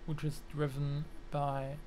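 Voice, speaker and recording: A man thinking aloud through a technical walkthrough, halting and pausing mid-sentence as he reasons. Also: a little high, completely flat delivery, darkish tone, slight background noise. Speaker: electronics hobbyist presenter.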